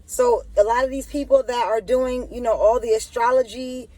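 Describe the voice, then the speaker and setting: A woman's voice: a little high, fairly even intonation, normal speed, a little mellow, no echo, poor audio. A woman hosting a spiritual monologue who stutters and keeps asking the listener "you know what I'm saying". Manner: stuttering, repeats filler phrases. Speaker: channel host.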